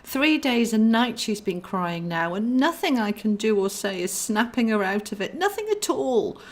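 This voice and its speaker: A woman's voice: on the high side, very animated, moderate pace, voice pushed up. A woman voicing an anxious, pleading female guardian angel in an audiobook. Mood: fretful. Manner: worried, desperate, repetitive pleading.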